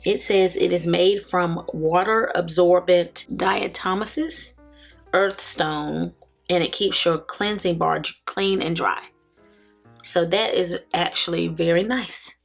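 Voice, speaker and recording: A woman in her forties, hoarse from allergies, chatting casually as a beauty vlogger. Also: fairly low pitch, steady tone, a little slow, soft background music. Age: 40s. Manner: chatty, laughs often. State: raspy from allergies. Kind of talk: casual haul vlog.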